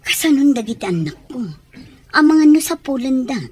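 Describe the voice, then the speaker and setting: A woman's voice: sits high, expressive, normal speed, a little mellow. A woman acting a worried mother in an Ilocano radio comedy drama. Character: worried mother, far from her children.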